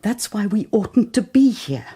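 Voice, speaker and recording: A woman's voice: medium pitch, very animated, measured pace, dark tone, slightly rough. An actress playing a troubled, earnest nun who confesses her doubts in a hesitant way.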